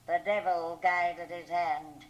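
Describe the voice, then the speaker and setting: An actress playing a practical, stern small-town mother who worries over her neighbours, brisk and earnest, in a radio drama. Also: on the low side, little pitch movement, highs cut off. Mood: concerned, pitying. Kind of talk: 1980 radio drama acting. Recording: noisy home cassette copy of radio drama.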